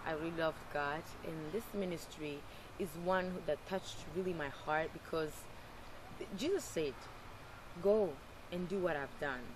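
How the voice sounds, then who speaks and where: A man speaks fervently as a ministry volunteer, his testimony devout and heartfelt. Steady noise runs clearly behind his voice. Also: a little high, a little slow. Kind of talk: documentary testimony. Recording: noisy background.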